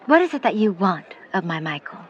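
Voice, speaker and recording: A woman playing a protective, skeptical middle-aged mother in a 1950s New York audio drama, speaking in formal, measured, wordy sentences. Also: on the low side, sing-song, dull audio. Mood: guarded, probing. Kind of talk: vintage-set audio drama acting.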